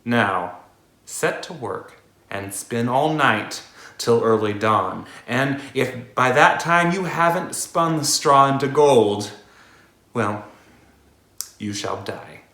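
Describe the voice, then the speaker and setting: A man as a haughty storybook king, commanding, unhurried, animated pitch. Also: roomy sound, heavily acted. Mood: stern. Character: haughty, demanding fairy-tale king.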